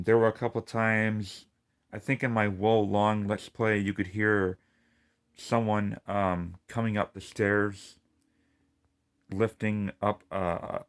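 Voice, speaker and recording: Lisping man in a slow, low rant. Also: fairly bright tone, clean tone. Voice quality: lisp. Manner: frustrated, rambling, swears. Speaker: gaming video creator. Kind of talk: casual ranting vlog.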